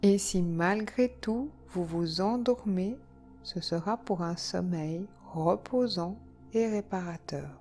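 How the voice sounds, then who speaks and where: A woman leading a guided yoga nidra relaxation, speaking calmly and soothingly in short phrases with long pauses. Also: fairly low pitch. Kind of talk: guided relaxation meditation, slow instructions. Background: soft background music.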